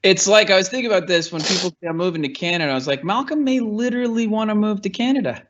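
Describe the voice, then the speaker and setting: Chatty higher-voiced male host. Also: fast talker, lo-fi sound, a little hiss or hum. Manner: chatty, animated questions, laughs easily. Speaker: webinar host, interviewer. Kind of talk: interview moderation. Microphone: remote webinar call.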